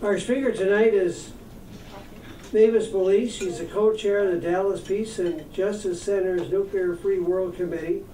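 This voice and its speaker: High-voiced man introducing a speaker. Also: warm tone, a little hiss or hum. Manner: reads a prepared introduction, stumbles a bit. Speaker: meeting host. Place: meeting room. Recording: echoey room, far from the mic.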